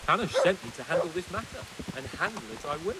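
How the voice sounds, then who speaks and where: A proud young nobleman from a medieval game. His fairly high voice moves animatedly and sounds imperious. Distinct rustling outdoor noise surrounds it. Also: lively intonation, fast. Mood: defiant. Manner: haughty, insistent. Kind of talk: video game voice acting.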